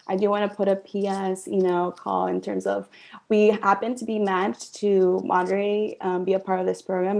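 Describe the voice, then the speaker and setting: A woman in her twenties moderating a panel over a video call, using wired earphones in a small room. Her mellow voice has a gravelly edge, and she speaks upbeat and warm with frequent fillers. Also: mid-range voice.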